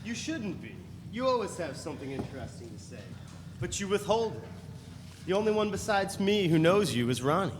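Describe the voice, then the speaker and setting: A man's voice: sits high, big pitch swings, a little fast. A man reading a charming, lilting suitor. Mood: intimate. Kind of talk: dramatic reading of a novel. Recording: echoey room with faint noise.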